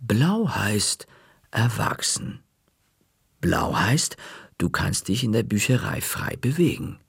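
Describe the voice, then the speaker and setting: A man's voice: on the low side, very animated, takes it slowly, creaky, breathy edge, no room sound, clean studio sound, heavily acted. Man doing a stern, bony old librarian woman. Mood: dry.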